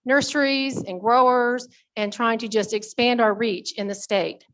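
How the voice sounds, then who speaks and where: A woman's voice: on the high side, steady tone, a little slow, a bit bright, poor audio. A woman presenting at a members meeting in an informal, conversational way, with folksy asides to the audience. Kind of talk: informal spoken committee report to an audience.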